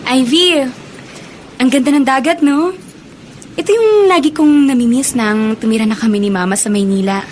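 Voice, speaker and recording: Casting: affectionate college-age granddaughter woman performer, high-pitched, Filipino accent, radio drama over faint ambience. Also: normal speed, darkish tone, slight background noise, close to an everyday voice. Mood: happy, breezy. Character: sweet young female student, affectionate granddaughter.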